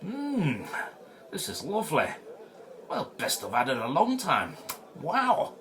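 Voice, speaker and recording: A man's voice: a little high, huge pitch swings, slow pace, a crowd in the background, heavily acted. A man in an audio drama playing an easygoing, wryly sceptical archaeologist with an informal, blokey manner. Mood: casual. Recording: noisy ambient background.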